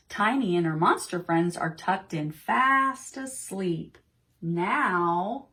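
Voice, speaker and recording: A woman's voice: fairly low pitch, a bit bright. A woman leading storytime for preschoolers, speaking in an encouraging singsong with short, simple phrases. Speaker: library storytime leader for preschoolers. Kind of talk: children's storytime reading and guided action song.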